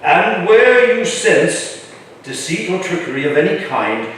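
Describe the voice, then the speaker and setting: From far off in a very echoey room comes a man playing an older colonial governor, his voice on the high side. He is imperious and formal, with a dry, shrewd edge, as in a historical stage play. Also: sing-song, unhurried, a bit bright, a little hiss or hum, heavily acted. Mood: brisk, shrewd. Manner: commanding, formal, wry.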